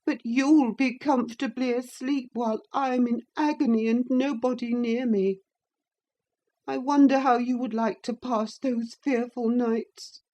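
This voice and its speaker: A woman's voice: fairly high pitch, steady tone, unhurried, mellow, voice pushed up, close to an everyday voice. Woman doing a whiny, sickly teenage boy. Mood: whining. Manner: whining, self-pitying. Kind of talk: audiobook character reading.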